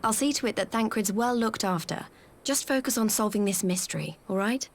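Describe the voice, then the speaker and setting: A woman's voice: medium pitch, lively intonation, brisk, a little hiss or hum, close to an everyday voice. A woman playing a loyal, caring, plain-spoken young commander in a video game. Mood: reassuring. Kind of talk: scripted video game cutscene acting.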